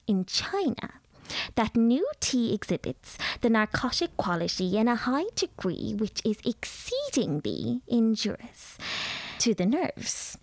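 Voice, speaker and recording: A woman voicing a stern, grand elderly widow who speaks in a booming, commanding and pompous manner. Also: dry recording, heavily acted.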